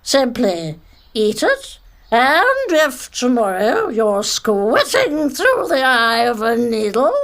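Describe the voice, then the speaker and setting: Woman as blithe, slow-paced cookery presenter. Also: fairly high pitch, wildly swooping pitch, a bit bright, full character voice. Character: blithe, darkly cheerful female cookery presenter. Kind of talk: parody cookery programme, comedy audio drama.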